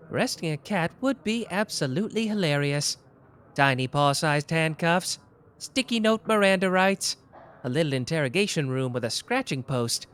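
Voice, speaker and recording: Man playing a sharp playful young detective, ASMR roleplay, teasing, animated delivery. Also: on the high side, clear, resonant tone. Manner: teasing, quick-witted. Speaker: voice actor.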